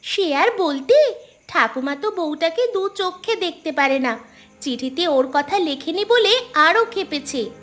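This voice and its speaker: A woman voicing a cheeky, sensible, outspoken young village granddaughter in an animated story. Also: very high-pitched, a little slow, faint music behind, exaggerated voice. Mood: chatty. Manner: pert, outspoken. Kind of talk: Bengali animated story dialogue.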